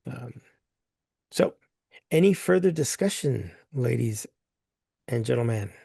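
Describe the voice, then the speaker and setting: A hoarse man chairing a board meeting, talking plainly with many fillers and restarts. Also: mid-range voice, expressive, takes it slowly, mellow, no echo, clean studio sound. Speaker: governing council chair.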